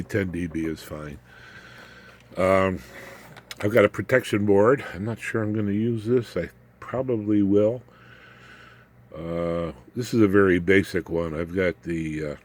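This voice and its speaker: Older male hobbyist, low-pitched and unhurried, folksy delivery with dry asides, informal bench walkthrough. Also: slight background noise. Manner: folksy, halting, wry asides. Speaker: electronics hobbyist presenter. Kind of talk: casual unscripted build walkthrough.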